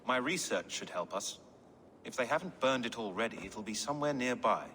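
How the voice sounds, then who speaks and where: An actor playing a shrewd, sardonic English reeve held prisoner, in dramatic video game dialogue. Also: male voice, mid-range voice, animated, a little fast, sharp tone. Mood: dry, determined. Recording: reverberant hall, faint background noise.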